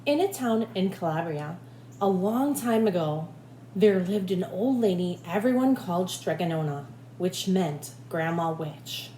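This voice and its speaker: A woman reading a storybook aloud like a teacher, with expressive, deliberate phrasing for children. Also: lowish voice. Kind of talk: storybook read-aloud narration. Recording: echoey room, faint background noise.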